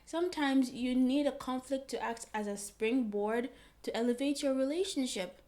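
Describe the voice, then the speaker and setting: A woman from Ghana co-hosting a casual advice chat, animated and teasing, laughing often. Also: high-pitched, normal speed. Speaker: co-host.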